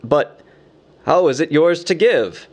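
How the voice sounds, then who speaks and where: A man's voice: medium pitch, big pitch swings, unhurried, vocal fry. Man doing a grim, blunt warrior leader. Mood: dazed. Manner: terse, challenging. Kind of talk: storybook character voice.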